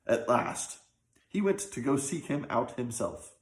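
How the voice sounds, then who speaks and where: A man narrating a scripted folk tale with an expressive, old-fashioned storytelling delivery. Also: medium pitch, a little slow. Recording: roomy, echoing sound.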